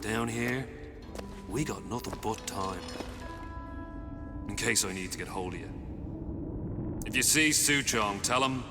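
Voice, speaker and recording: Male actor as game villain: menacing Irish gang boss, low pitch, taunting, in long reverb over music. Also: measured pace, pauses often, lightly acted. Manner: taunting, streetwise. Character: menacing, mocking male revolutionary crime boss. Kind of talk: video game voice acting. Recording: long hall reverb, loud music behind.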